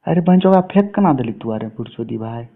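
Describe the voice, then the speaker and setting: A man's voice: medium pitch, lively intonation, a little fast. A man with a Bihari accent from Mithila in an informal phone conversation, swearing often in a coarse manner. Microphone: telephone. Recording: tinny narrowband phone call recording.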